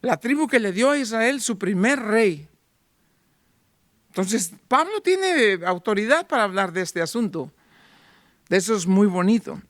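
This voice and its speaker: High-voiced man preaching a sermon. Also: expressive, unhurried, pauses often, a bit bright, no echo, clean studio sound. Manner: rhetorical questions, voicing doubting listeners. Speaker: pastor.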